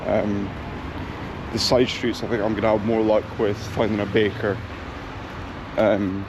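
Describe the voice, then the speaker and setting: A young man with a low, gravelly voice talks casually to the camera while he walks. He thinks out loud and fills gaps with ums. Loud street traffic and town bustle come through the action camera recording. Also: flat delivery, a little slow. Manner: chatty, thinking aloud with many ums. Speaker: travel vlogger. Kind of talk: casual vlog chat while walking. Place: outdoor town streets and a bakery. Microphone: action camera mic. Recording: noisy outdoor street recording. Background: street traffic and town ambience.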